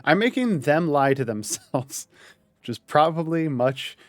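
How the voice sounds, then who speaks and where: Streamer type, man, lively giggly delivery, dry close sound. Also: a little high, measured pace, no room sound, faint music behind. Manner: joking, laughs often, talks in restarts. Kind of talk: casual gaming chat.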